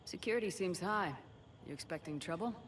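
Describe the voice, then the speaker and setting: A woman playing a steady, direct human military commander in a video game, asking questions in a businesslike way. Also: on the low side, brisk, natural voice. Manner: businesslike, probing. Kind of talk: video game cutscene acting. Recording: slightly distant, faintly noisy game capture.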